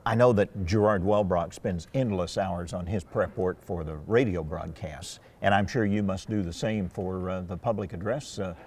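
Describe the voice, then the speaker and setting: Very low-voiced male interviewer. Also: normal speed, hardly any pauses, a little mellow, a little hiss or hum. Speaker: interviewer, host. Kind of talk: conversational interview.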